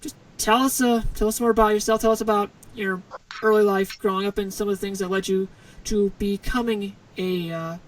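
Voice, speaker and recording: Brief: podcast host, keen and chatty, introductions full of "uh", a little off-mic. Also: male voice, high-pitched, fairly even intonation, a little fast, recorded from a distance, poor audio. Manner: enthusiastic introductions with fillers. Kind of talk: hosting a podcast interview.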